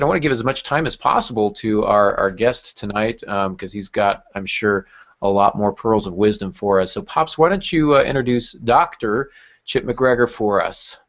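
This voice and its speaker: A man hosting a podcast in a casual, conversational style. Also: fairly low pitch. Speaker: podcast host. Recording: dull, lo-fi audio.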